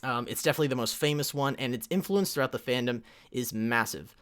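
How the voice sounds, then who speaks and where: Male panel presenter, medium pitch, informal history talk, hesitant and rambling, corrects himself as he goes. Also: quick pace, a bit bright.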